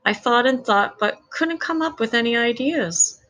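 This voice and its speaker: A woman's voice: on the high side, animated, normal speed, a bit bright. A woman reading a children's story aloud as scripted narration.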